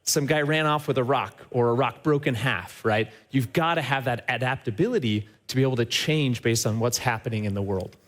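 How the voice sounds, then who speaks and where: A man who heads a company, giving a lively talk on a trade show stage and speaking straight to the audience, often tagging his points with a quick question. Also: mid-range voice. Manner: upbeat and enthusiastic, often checks in with a tag question; addresses the audience directly. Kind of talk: live conference presentation.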